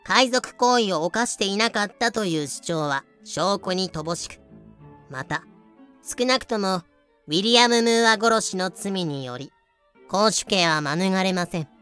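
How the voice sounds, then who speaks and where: A woman's voice: medium pitch, animated, unhurried, a bit bright, clear, resonant tone, faint music behind. A woman playing a composed courtroom official in an audio drama, formal, polite and matter-of-fact. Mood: stern. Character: composed, logical courtroom judge and prosecutor. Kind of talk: Japanese audio drama acting.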